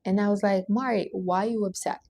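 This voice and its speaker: A woman's voice: mid-range voice, fairly even intonation, brisk, mellow, dry recording. Woman, Portuguese accent, native Portuguese speaker, emphatic, slightly broken English grammar, dry recording. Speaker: livestream host. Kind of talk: motivational livestream talk.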